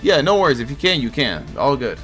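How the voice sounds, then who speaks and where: Male streamer, Portuguese accent, laid-back chatty host, moderate pitch, faint background music. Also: big pitch swings, a little fast, fairly bright tone. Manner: easygoing, chatty, reacts to chat. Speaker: streamer hosting a card game tournament. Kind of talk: casual live-stream chat reading viewer comments.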